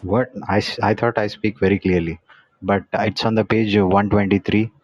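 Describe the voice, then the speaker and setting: Hesitant low-voiced man, dull dry sound. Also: flat delivery, moderate pace, dry recording. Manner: hesitant, full of "uh" and restarts. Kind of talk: casual chat.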